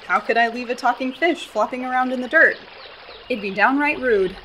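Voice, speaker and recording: A woman's voice: fairly high pitch, moderate pace, sharp tone, slight background noise. A young woman with an American accent in a radio play, acting a sarcastic, exasperated hiker. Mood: wry. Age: young adult. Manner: sarcastic, incredulous.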